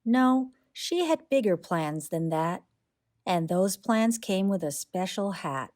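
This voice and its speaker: Slow-paced woman reading a children's story. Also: mid-range voice. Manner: expressive, deliberate storytelling. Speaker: read-aloud storyteller. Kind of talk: children's picture book read-aloud, rhyming narration.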